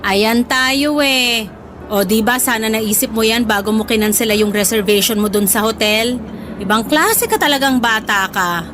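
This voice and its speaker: A woman's voice: a little high, normal speed, background noise, close to an everyday voice. A woman playing a middle-aged Filipina mother in a radio drama, affectionate yet exasperated, with a Filipino accent. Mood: fond scolding. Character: Filipina mother, fond, teasing, scolding, uneasy about home. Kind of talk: Tagalog radio drama acting.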